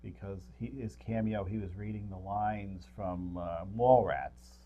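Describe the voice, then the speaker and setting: A middle-aged man co-hosting a movie review show, chatting casually about films in halting, rambling explanations. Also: on the low side, highs cut off, slight background noise.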